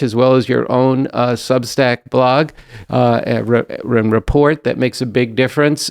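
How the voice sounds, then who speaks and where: A man's voice: mid-range voice, gravelly, clear, resonant tone. A man hosting a political talk show, speaking as the host to guests and viewers.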